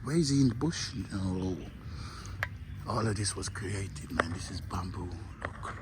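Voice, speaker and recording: A man's voice: low voice, measured pace, noisy background. A man with a Jamaican accent, chatting casually to his viewers as a travel vlogger while walking through a hotel garden, now and then dropping into a murmur. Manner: chatty, addresses viewers as "my people", often drops to a murmur.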